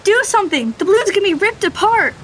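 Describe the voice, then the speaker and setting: A woman's voice: very high voice, lively intonation, quick pace, a bit bright, a little hiss or hum. A woman playing a brave young orphan girl in a radio play. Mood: frantic. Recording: dry close studio sound.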